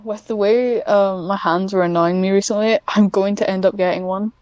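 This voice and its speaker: A woman's voice: medium pitch, fairly even intonation, normal speed, darkish tone, smooth, no echo, highs cut off. A young woman with a British accent, chatting casually as a podcast guest and telling stories in a rambling, giggly way. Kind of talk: casual chat.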